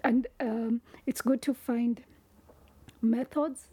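A woman with a Kenyan accent, a wildlife researcher speaking thoughtfully and softly in a casual interview. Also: a little high, steady tone, takes it slowly, breathy edge.

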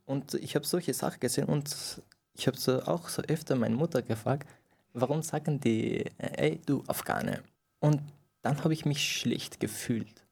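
A young man from Iran whose first language is Farsi, telling his story in an interview in halting German with many restarts. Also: medium pitch, creaky, darkish tone, slightly rough. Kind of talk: personal interview account.

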